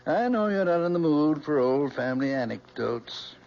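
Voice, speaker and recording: Gentle, gravelly professor father. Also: on the high side, animated. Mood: tender. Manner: tender, measured, moralizing. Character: gentle, persuasive professor father of grown daughter. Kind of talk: 1970s radio drama acting. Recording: dull old radio broadcast, faint hum.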